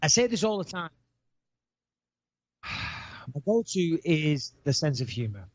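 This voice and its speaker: A man's voice: a little high, very animated, a little slow, darkish tone. A middle-aged man with a northern English accent, hosting a podcast in casual, sarcastic, swearing chat. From England. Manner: sarcastic, joking, swears freely. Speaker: podcast host. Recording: dry, dull studio podcast sound.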